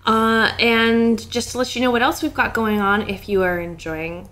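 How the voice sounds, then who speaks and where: A woman's voice: fairly high pitch, fairly even intonation, normal speed, fairly bright tone. A woman in her twenties co-hosting a podcast, chatting casually, giggly and playful. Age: 20s. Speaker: podcast co-host. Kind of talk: casual chat.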